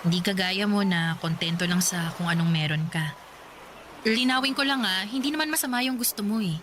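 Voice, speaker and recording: A woman playing an apologetic, frank former girlfriend in a Tagalog radio drama, speaking softly and conversationally with hesitant pauses. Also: medium pitch, a little hiss or hum, close to an everyday voice. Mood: apologetic, candid. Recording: music bed behind the voice.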